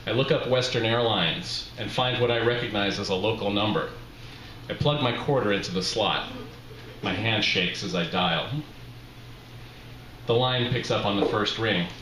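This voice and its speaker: A middle-aged man reading a humorous personal story aloud at a live event, with a wry, deliberate storytelling delivery. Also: on the low side, a bit bright. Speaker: author reading his memoir aloud. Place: small bar with brick walls, live reading. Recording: roomy live bar recording, faint background noise.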